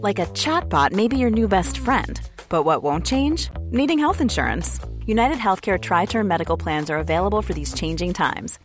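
Female commercial voice, smooth sales read, music bed. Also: medium pitch, expressive, moderate pace, hardly any pauses. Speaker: ad announcer.

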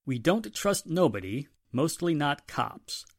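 A man's voice: on the high side, very animated, slow, no echo, clean studio sound, heavily acted. A man playing an earnest, take-charge police inspector in a 1940s-style radio drama. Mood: grave, firm.

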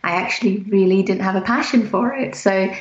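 A woman, a podcast guest talking in a relaxed, talkative way with many ums. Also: mid-range voice, brisk. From England. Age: 40s. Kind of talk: interview conversation. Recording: muffled roomy remote audio.

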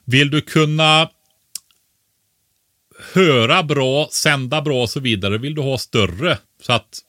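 A man's voice: medium pitch, a bit bright, no echo, clean studio sound. A man co-hosting a podcast, explaining things casually and didactically, often tagging his statements with a short questioning word.

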